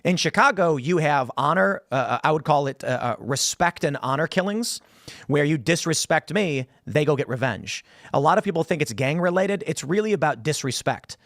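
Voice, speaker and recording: A man in his thirties hosting a political talk show in a studio, telling stories animatedly with frequent restarts, on a boom-arm studio mic. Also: moderate pace, dry recording. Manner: animated storytelling with many restarts and gestures. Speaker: podcast host. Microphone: studio mic on boom arm, headphones.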